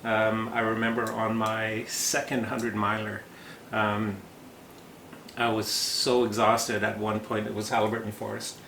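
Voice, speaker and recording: A man hosts a relaxed interview, his voice gravelly and full of pauses and fillers. He laughs easily between questions. The room is echoey, with a faint background hiss. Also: on the low side. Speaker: podcast host. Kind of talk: casual interview chat.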